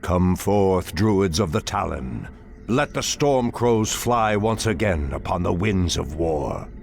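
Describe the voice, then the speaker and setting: A man's voice: deep voice, expressive, takes it slowly, gravelly, slight background noise, heavily acted. A man playing an ancient, solemn and commanding night elf archdruid in a fantasy game, speaking in a grave, stately way. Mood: solemn, resolute. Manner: grave, stately, archaic phrasing. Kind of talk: fantasy video game cutscene acting.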